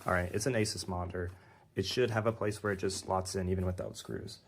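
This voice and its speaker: A man with a soft, relaxed voice calmly giving step-by-step instructions close to a phone mic. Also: sits low, quick pace, gravelly. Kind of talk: step-by-step tutorial narration. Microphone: phone held close to mouth. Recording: phone video with faint background noise.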